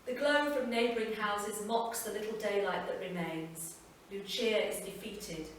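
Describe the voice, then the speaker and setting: Woman reading a story out loud at a live event, mid pitch, echoey hall, mic pretty far off. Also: measured pace, a little hiss or hum. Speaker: reader. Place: performance venue.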